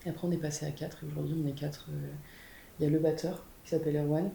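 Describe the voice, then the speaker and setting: A woman's voice: level tone, fast, vocal fry, warm tone. A young woman, a band's guitarist, telling the band's story in a casual interview with many fillers. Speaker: guitarist in a band. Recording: echoey room with faint rumble.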